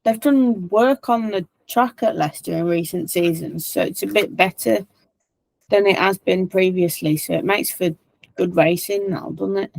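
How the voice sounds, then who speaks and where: A woman with a hoarse, tired-sounding voice hosts a casual podcast chat, asking friendly questions. Her voice is recorded close and dry, with no room around it. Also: mid-range voice, normal speed, a little mellow, no room sound, low-quality recording. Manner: chatty, asks questions. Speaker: podcast host.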